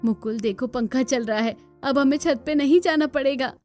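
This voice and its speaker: A woman's voice: high voice, normal speed, darkish tone, soft background music, natural voice. A woman voicing a spirited, outspoken young village daughter-in-law in a cartoon. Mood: delighted. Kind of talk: Hindi cartoon dialogue acting.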